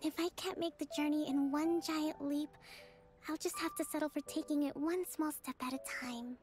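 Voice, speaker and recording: A woman voicing a shy, earnest young priestess in a video game, soft-spoken and gentle, with hesitant, measured phrasing. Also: sits high, steady tone, natural voice. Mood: earnest, hopeful.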